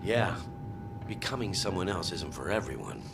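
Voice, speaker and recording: Self-important, grumbling male forger for game dialogue, lowish voice, very animated, wry and boastful. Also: moderate pace, gravelly, loud music behind the voice, full character voice. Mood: matter-of-fact.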